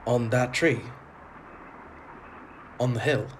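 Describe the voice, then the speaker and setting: A man's voice: mid-range voice, slow, close to an everyday voice. An actor playing a polite, uneasy traveller in an audio drama, earnest and hesitant in his delivery. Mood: nervous, hesitant. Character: uneasy, polite male traveller narrating his strange homecoming. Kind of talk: audio drama narration and dialogue. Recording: clearly noisy ambience behind. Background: ambience noise.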